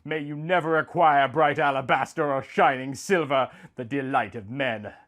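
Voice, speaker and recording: A man's voice: mid-range voice, moderate pace, a bit bright, lightly acted. A man playing a dying wild warrior, bitter and anguished, in an impassioned, declamatory storybook reading. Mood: furious cursing.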